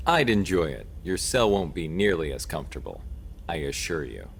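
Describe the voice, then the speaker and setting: An actor playing a steady, determined police detective in an audio drama, confident and matter-of-fact. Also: male voice, low-pitched, moderate pace, creaky, slight background noise, exaggerated voice. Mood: cool, goading.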